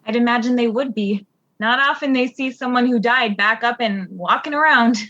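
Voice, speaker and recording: A woman plays a kindly commander in a sci-fi radio play. Her voice is fairly high, with casual, reassuring phrasing. The recording is very dry and slightly muffled. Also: moderate pace, sharp tone, dry recording. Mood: reassuring, curious. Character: kindly, busy female commander giving a crewmate reassurance. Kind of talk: sci-fi radio drama dialogue.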